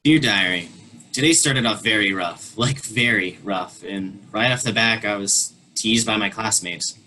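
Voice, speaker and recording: A performer reading a teenager's part in a staged play reading over a video call, earnest and quietly emotional. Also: male voice, lowish voice, a little slow, slight background noise, low-quality recording. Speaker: actor reading a teen character in a play.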